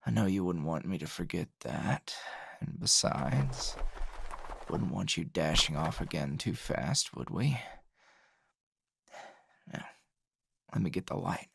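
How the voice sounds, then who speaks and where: A man playing a gruff, flirtatious male anime hero in an intimate ASMR roleplay, gravelly and husky, teasing and halting. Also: deep voice, animated, a bit bright, a little hiss or hum, exaggerated voice. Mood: smug, amused. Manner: slow, teasing, halting with sighs and chuckles.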